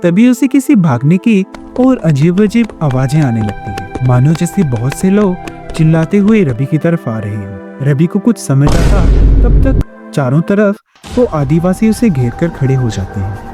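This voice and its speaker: High, animated male storyteller. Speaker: story narrator. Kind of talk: scripted storytelling narration.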